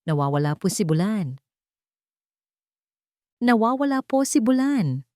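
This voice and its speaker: A woman's voice: medium pitch, very animated, measured pace, darkish tone, no echo, studio quality, voice pushed up. A woman voicing a frightened, tearful schoolteacher in an audio story, with a trembling delivery. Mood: fearful, tearful. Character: frightened, tearful schoolteacher reporting a missing child.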